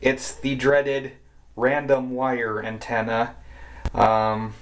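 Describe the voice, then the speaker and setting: A man's voice: mid-range voice, very slow. A man giving a casual, hesitant, rambling show-and-tell, narrating as he films on a handheld camera. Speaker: hobbyist vlogger. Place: around a house, basement and outdoors. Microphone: handheld camera microphone. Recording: noisy camcorder audio, steady background noise.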